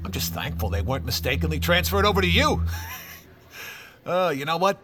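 An actor playing a blustery, mocking boss with a brash, needling manner in an audio drama. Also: male voice, on the high side, big pitch swings, a little fast, background noise. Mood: blustering. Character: blustery, mocking male boss, a family man.

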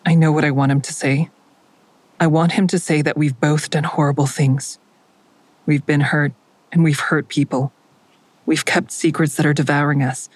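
A woman's voice: low voice, level tone, moderate pace, pauses often, no room sound. Wary woman in trouble, earnest and confiding, guilt-laden, audio drama. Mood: confessional, yearning. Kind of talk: full-cast audio drama acting and inner narration.

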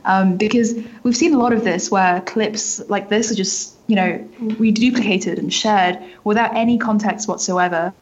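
A woman's voice: mid-range voice, normal speed, hardly any pauses. A woman journalist explaining things as an interview guest, hedging often and leaning on filler words as she goes. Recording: dull, lo-fi audio.

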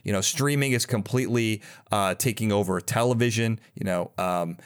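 A man's voice: lowish voice, normal speed, clean tone. A man hosting a podcast in casual conversation, talking in a rambling, enthusiastic way and often interrupting himself. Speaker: podcast host.

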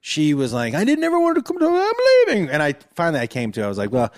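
A man chatting casually on a podcast, telling comic stories with lively swings of pitch and bursts of laughter. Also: fast talker, dry recording, studio quality. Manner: animated storyteller, bursts into high-pitched singing and laughter. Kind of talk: casual comedic chat.

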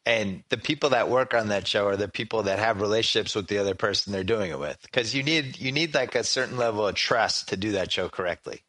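Low-pitched guy hosting a podcast, chatty and loose, lots of "like". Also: bright, forceful tone. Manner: chatty, fast and loose with fillers like "like". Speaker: podcast host. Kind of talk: casual podcast interview.

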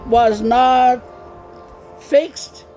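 An older woman from Samoa, speaking emotionally and haltingly. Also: high voice, steady tone, warm tone, faint music behind. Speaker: community resident.

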